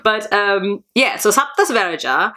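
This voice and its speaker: A woman's voice: mid-range voice, expressive, a little slow, sharp tone. A woman raised in Germany whose first language is Tamil, hosting her own channel and talking casually in a rambling video diary with lots of fillers. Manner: rambling, chatty, many fillers. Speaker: channel host.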